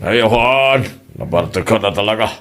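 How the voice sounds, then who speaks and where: A low male voice with an Ilocano Filipino accent gives us a teasing, easygoing older village husband, acted out by a man. The delivery is conversational comedy-drama dialogue, loose and playful. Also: expressive, normal speed, fairly bright tone.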